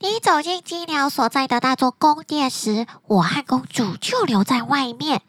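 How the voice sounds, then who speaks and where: A woman's voice: high voice, moderate pace, a bit bright, no room sound, clean studio sound. A woman voicing a clever, helpful little fox in a bedtime story, talking in a coaxing, instructive way. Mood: advising.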